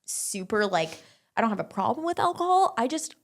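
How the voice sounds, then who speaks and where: A young woman, a barre instructor, talking casually as an interview guest, chatty and quick with lots of filler words. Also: a little high, big pitch swings. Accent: English-speaking, non-French.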